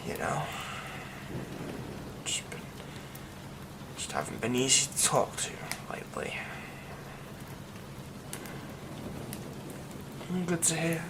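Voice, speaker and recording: ASMR drama: a man as a brooding young werewolf friend, hushed and slow-spoken, half-whispered lines, steady rain behind. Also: a little high, noisy background. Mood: hushed, evasive. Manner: hushed, often dropping to a whisper. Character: secretive young man hiding a werewolf curse. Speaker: ASMR roleplay voice actor.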